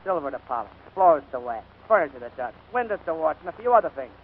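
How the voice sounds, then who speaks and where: Brusque, exasperated butler, wisecracking radio comedy style, higher-pitched, narrow old broadcast sound. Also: male voice, sing-song, fast. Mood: bossy, brusque. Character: gruff, harried male butler, bossy and exasperated older brother. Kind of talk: 1940s radio comedy acting. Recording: scratchy, narrow-band 1940s radio broadcast.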